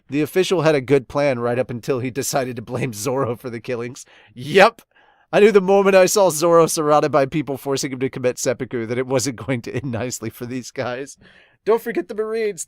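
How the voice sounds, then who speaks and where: A man with a mid-pitched voice hosts a casual manga review podcast. He talks like an enthusiastic fan, informal and exclamatory, moving between reading out comments and reacting to them. The sound is dry, with very little room in it. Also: dry recording. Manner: animated, exclamatory, informal with mild swearing.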